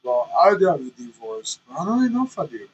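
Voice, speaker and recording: A man's voice: a little high, sing-song, fast, slightly rough, dull audio. A man vlogging candidly from the cab of his semi truck, rambling and self-conscious as he chats to viewers. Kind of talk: casual chat while driving.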